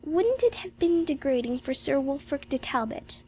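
A woman's voice: very high-pitched, animated, a little fast, a little hiss or hum, voice pushed up. A woman voicing a timid, dreamy little girl with a plaintive, wide-eyed manner in a children's storybook.

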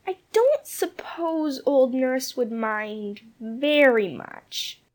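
A man voicing an earnest young girl in a storybook, in a breathy, girlish way. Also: very high voice, expressive, drawn-out words, voice pushed up, exaggerated voice. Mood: eager.

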